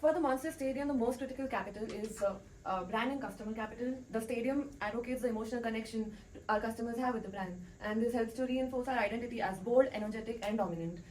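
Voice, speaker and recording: Quick woman presenter, slightly distant. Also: a little high, darkish tone. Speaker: panelist in a business role play. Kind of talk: scripted debate presentation. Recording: distant mic, faint background noise.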